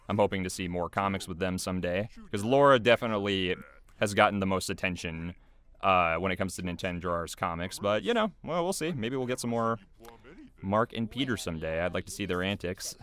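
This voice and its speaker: A man's voice: fairly low pitch, a bit bright. A man giving casual, unscripted behind-the-scenes commentary in a rambling, hesitant way.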